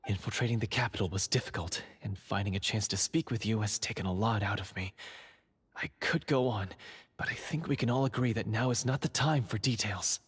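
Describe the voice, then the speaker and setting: An undercover dungeon guard in a video game. He has a very breathy, husky voice and speaks formally, with hushed urgency. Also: lowish voice, fairly quick. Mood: urgent, firm.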